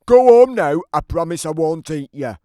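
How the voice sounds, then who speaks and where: A man's voice: on the high side, animated, moderate pace, dark tone, smooth, no room sound, studio quality, close to an everyday voice. Man voicing a grumpy storybook giant, swooping delivery. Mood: booming, angry. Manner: theatrical, emphatic storybook delivery. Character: grumpy, aggrieved, always-hungry big giant telling his side. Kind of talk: scripted children's storybook narration.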